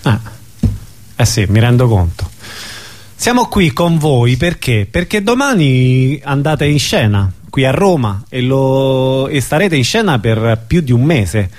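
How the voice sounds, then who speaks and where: A male radio host with a medium-pitched voice. He interviews guests conversationally, in halting phrases, often pausing to find the word. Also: sing-song, a little mellow.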